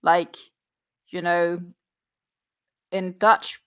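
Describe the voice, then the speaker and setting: A woman's voice: lowish voice, flat delivery, extremely slow, clean tone, dull audio. A woman hosting a casual crochet livestream, chatting, reading out viewer comments and counting her stitches aloud. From Denmark. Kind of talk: casual chat while crocheting.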